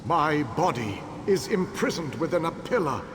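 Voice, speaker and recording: A man voicing an imprisoned ancient elf king who gives formal, measured instructions in a video game. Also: on the high side. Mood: commanding. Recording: long hall reverb with steady noise.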